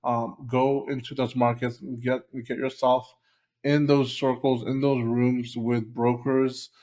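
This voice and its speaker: A man's voice: mid-range voice, little pitch movement, slow pace, darkish tone. A man being interviewed on a podcast, speaking in a casual, meandering way. His voice sits in a plain middle register, and he leans on fillers like um and you know as he thinks aloud. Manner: loose and conversational, with many fillers like um and you know. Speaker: guest, short-term rental company founder.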